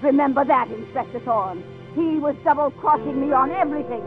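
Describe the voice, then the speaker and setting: An American actress playing a bitter, haughty fading stage star with theatrical indignation in a 1950s radio drama. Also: female voice, high-pitched, fairly quick. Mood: bitter, defiant. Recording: muffled vintage radio broadcast with music.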